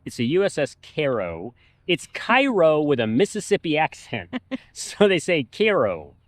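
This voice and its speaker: A man's voice: fairly high pitch, huge pitch swings, measured pace, no echo. A man on a travel vlog, outdoors at a park, casually explaining history to the camera at length. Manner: informative, talks through history at length. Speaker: travel vlogger. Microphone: camera mic.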